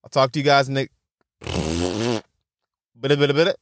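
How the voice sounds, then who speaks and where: A man's voice: mid-range voice, expressive, slow pace, dry recording, studio quality. A young man hosting a podcast, rambling casually with lots of laughter and swearing, and a stutter. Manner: laughing, profane, self-mocking. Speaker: podcast host.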